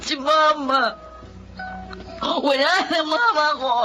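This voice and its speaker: A woman's voice: high-pitched, fairly even intonation, slow pace, bright, forceful tone, soft background music, heavily acted. A woman playing a distraught, guilt-ridden grown child in a Filipino radio drama, with an emotional, pleading delivery. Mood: weeping, remorseful.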